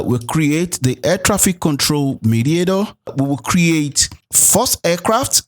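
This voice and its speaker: A man narrating a programming tutorial as an instructor, explaining things step by step. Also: a little high. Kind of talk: tutorial narration with code walkthrough.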